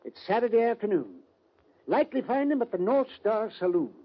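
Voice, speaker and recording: An actor playing a blunt, folksy old-timer in a radio western, with a rough voice and an American Western drawl. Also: male voice, high-pitched, sing-song, a little fast, a little hiss or hum. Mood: blunt warning. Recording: dull vintage radio recording.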